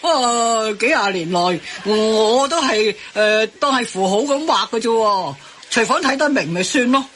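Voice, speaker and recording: A woman's voice: fairly high pitch, lively intonation, measured pace, fairly bright tone, a little hiss or hum. A woman acting a feisty, defensive tea restaurant order-taker in a comedic radio drama, exclaiming in Hong Kong Cantonese. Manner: exclamatory, indignant.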